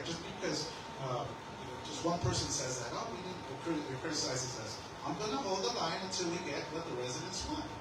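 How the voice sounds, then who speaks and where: A council member at a public forum who speaks emphatically and keeps checking in with the audience. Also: male voice, a little high. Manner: emphatic, conversational, seeks agreement with "right?" and "okay?". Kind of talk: campaign forum answer. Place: public meeting hall. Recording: echoey hall, distant mic, noisy.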